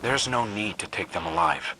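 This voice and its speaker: Low-voiced military operative. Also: male voice, animated, moderate pace, sharp tone, a little hiss or hum, rough recording. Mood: commanding. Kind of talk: scripted video game dialogue.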